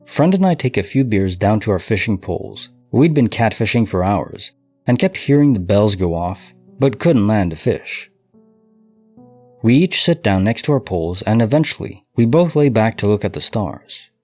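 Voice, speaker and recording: A man hosting a podcast, reading listener stories aloud and musing on them in a relaxed, speculative way with light chuckles. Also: on the low side, normal speed, no echo, soft background music. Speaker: podcast host.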